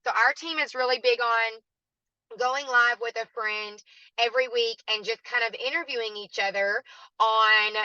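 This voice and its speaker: Raspy, bright-voiced woman. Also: a little high, fairly even intonation, normal speed, no echo, muffled recording. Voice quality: raspy, hoarse voice. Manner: chatty and encouraging, addresses listeners as "y'all". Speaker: guest speaker at a team training. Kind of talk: motivational training talk.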